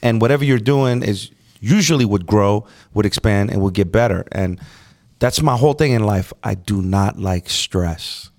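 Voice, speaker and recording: A man co-hosting a podcast, giving relaxed advice in casual chat. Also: lowish voice, normal speed. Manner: laid-back, advice-giving, "you feel me". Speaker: podcast co-host.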